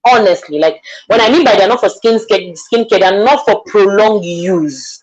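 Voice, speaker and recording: Nigerian woman guest, earnest explainer, medium pitch, lo-fi call sound. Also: normal speed, darkish tone. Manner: earnest, repeats herself, asks "do you understand". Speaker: makeup artist guest. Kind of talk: live online interview.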